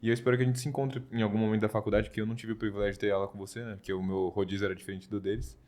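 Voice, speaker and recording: A man co-hosting a podcast, thanking the guest warmly as he closes the episode. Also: fairly low pitch, little pitch movement. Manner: fast, grateful thanks. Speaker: podcast co-host. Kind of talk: episode sign-off.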